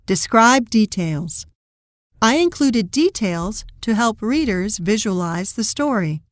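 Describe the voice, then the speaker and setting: Lady reading a kids lesson and story out loud, scripted, slow n deliberate, close dry sound. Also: medium pitch, animated, no echo. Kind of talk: scripted educational story read-aloud for children.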